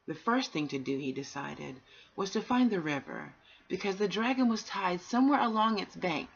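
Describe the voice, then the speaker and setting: Low, husky woman reading a bedtime story. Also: expressive, moderate pace. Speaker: amateur bedtime story reader. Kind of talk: storybook narration read aloud. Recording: dull, lo-fi home recording.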